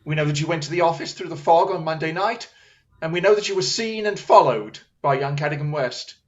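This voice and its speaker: An actor playing a shrewd, commanding Victorian English detective in a radio play, incisive, formal and coolly relentless. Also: male voice, on the high side, fairly quick, dull audio, natural voice. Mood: accusing.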